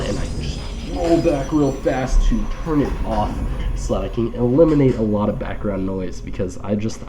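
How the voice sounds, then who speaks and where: A man's voice: on the low side, background noise. A man with a nasal, congested voice from allergies gives casual live game commentary with wry, rambling, joking asides. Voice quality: nasal, stuffed-up.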